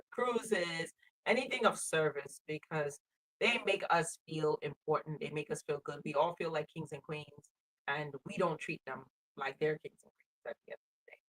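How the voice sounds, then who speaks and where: A woman's voice: sits low, fairly bright tone, low-quality recording. A middle-aged woman in casual conversation as a co-host, speaking earnestly and repeating phrases for emphasis. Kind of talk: casual chat.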